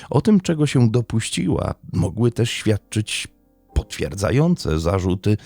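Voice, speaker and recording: A man's voice: deep voice, warm tone, no room sound. A man hosting a true-crime podcast as a scripted narrator.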